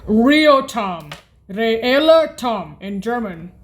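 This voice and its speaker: A woman presenting a halting instructional demonstration, hesitant and often correcting and repeating herself. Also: fairly high pitch, very animated. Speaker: music practice presenter.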